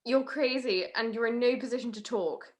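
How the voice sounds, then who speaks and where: An actress playing a cornered, defiant society dame and scheming crook in an old-time radio detective drama, with indignant, clipped retorts. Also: female voice, a little high, normal speed, close to an everyday voice. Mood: threatening, defiant.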